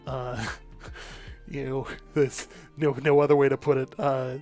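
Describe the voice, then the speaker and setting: Halting, rambling man over music. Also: medium pitch, flat delivery, a little mellow. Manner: rambling, halting, thinking aloud. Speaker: gaming show panelist. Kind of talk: casual chat.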